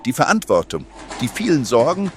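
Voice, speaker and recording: A man's voice: mid-range voice, huge pitch swings, brisk. Man playing a smug, swooping music mogul. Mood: smug, sighing. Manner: theatrical, self-important. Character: smug, greedy male boss of a music publishing house. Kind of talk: comedy radio play acting.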